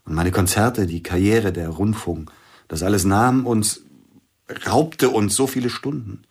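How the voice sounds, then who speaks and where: A grieving widowed pianist. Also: male voice, on the low side, a little slow, husky. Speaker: actor in radio play. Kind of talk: radio drama acting.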